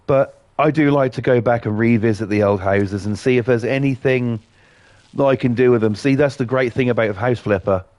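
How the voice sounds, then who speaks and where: A man hosting casual gameplay commentary, blunt and defiant, his voice croaky from a cold. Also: medium pitch, moderate pace, a little mellow, dry recording. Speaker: gaming channel host.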